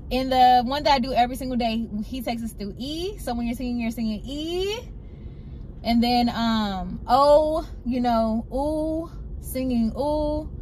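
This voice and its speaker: A woman's voice: fairly high pitch, darkish tone, low-quality recording. Chatty woman vlogging from her car. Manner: chatty and upbeat, repeats phrases for emphasis. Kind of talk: casual vlog chat. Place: parked car, in daylight. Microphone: phone camera on the dashboard. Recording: clear car and street noise. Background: car and street noise.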